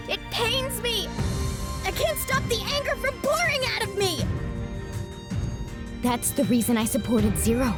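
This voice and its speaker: A woman's voice: very high voice, exaggerated voice. A woman playing a bitter, vengeful young ninja girl, scornful and intense, in an anime game dub.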